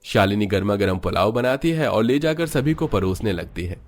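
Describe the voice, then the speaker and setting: A man's voice: on the low side, lively intonation, moderate pace. A man narrating a Hindi cartoon story in a scripted storytelling style.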